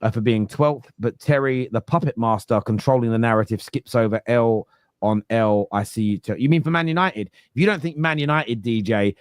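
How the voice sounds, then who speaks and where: A young man with a Cockney accent hosting a football fan podcast, teasing and animated, often talking over the others. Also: medium pitch, moderate pace, smooth, no room sound, clean studio sound. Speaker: podcast host. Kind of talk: heated football fan debate.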